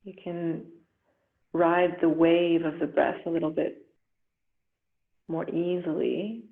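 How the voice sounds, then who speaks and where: A woman yoga instructor leading a guided relaxation meditation in a gentle, soothing, unhurried way with long pauses. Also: fairly low pitch, flat delivery. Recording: roomy echo, muffled phone-like sound.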